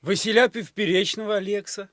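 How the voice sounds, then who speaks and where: An actor playing a cheeky, defiant young village lad in a radio play. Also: male voice, high-pitched, steady tone, brisk, a bit bright. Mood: pleading, cheeky. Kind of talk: Soviet radio play acting.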